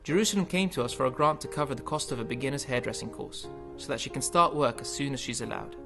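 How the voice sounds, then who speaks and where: A man giving scripted documentary narration in a measured, informative way. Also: mid-range voice, creaky. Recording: voice-over with guitar music behind.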